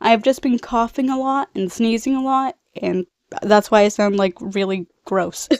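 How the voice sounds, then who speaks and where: A woman's voice: on the high side, fairly even intonation, normal speed, a little mellow, clean tone, no room sound, studio quality. A young adult woman with a nasal, congested voice, rambling casually as she recaps a film in a slangy, tangent-prone way. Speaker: video host, film recapper. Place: bedroom.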